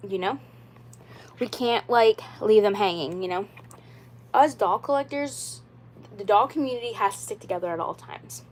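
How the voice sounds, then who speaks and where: Twentysomething woman rambling on a live stream, lots of like and you know, earnest and repeats herself. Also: medium pitch. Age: 20s. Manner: rambling and repetitive, with many "like" and "you know" fillers; earnest and insistent. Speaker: doll YouTuber. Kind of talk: casual livestream talk.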